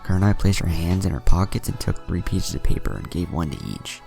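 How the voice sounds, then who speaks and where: Very deep gravelly male story narrator. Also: big pitch swings, a little fast, music in the background. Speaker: narrator reading fan fiction. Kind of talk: scripted audiobook-style story narration.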